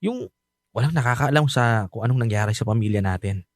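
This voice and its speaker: A man's voice: medium pitch, animated, fairly quick, dry recording, studio quality, close to an everyday voice. An actor playing a bitter, resentful young adult son, protective of his mother, speaking with heated emphasis in a radio drama. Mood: weary, frustrated.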